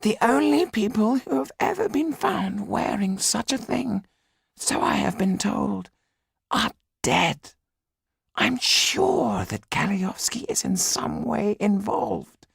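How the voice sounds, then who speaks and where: A man voicing a haunted, secretive male magician in an audiobook, high, raspy and breathy, telling things in a slow, halting way. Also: lively intonation, dry recording, studio quality, full character voice. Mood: grave, certain. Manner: slow, dramatic, halting storytelling. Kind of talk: audiobook character acting.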